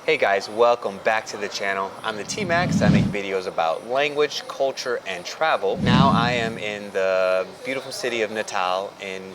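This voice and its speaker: A man's voice: fairly low pitch, normal speed, few pauses, over loud music. A man hosting a travel channel, upbeat and friendly as he introduces and interviews a guest. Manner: upbeat, friendly, laughs often. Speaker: host. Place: hostel.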